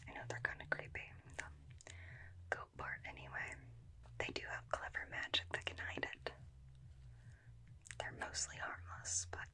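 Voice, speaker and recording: A woman's voice: close to an everyday voice, poor audio. Woman acting a whispering, chatty vampire slayer. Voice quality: soft, breathy whisper. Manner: rambling, confiding, questioning. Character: female vampire slayer, inept, chatty, suspicious, obsessive. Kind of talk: whispered ASMR roleplay. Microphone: close binaural ASMR microphone.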